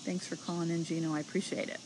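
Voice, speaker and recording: A woman's voice: fairly low pitch, flat delivery, fast, a little mellow, a little hiss or hum, low-quality recording. A middle-aged woman hosting a casual audio show, answering callers in a chatty, encouraging way with plenty of fillers. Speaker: host.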